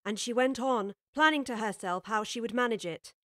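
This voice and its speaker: Higher-pitched woman narrating a storybook. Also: moderate pace, dry recording, studio quality. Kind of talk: scripted audiobook storytelling.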